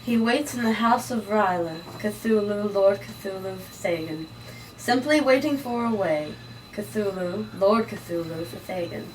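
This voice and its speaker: A woman playing a wicked, hypnotic nightclub singer chanting dark incantations in a noir horror table read. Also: mid-range voice, slow pace, natural voice. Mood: sinister, seductive. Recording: distant microphone, noisy room.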